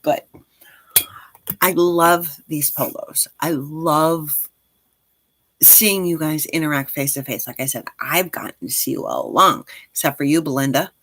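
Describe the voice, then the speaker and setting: A woman's voice: deep voice, unhurried, fairly bright tone, no echo. A woman in her forties chatting casually on a livestream as host, speaking directly to listeners in an encouraging, reassuring way. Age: 40s.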